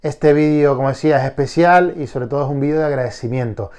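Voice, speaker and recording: A man's voice: mid-range voice, fast talker, a little mellow, clean tone. Stuffy-nosed man. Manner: enthusiastic, many restarts. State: congested with a cold, nasal. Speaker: collector vlogger. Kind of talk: casual vlog.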